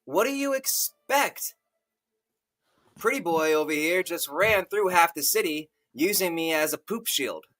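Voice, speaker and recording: A man's voice: on the high side, expressive, measured pace, a bit bright. A man playing a small, boyish adult adventurer, indignant and panicky, who rambles and protests crudely. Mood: sarcastic.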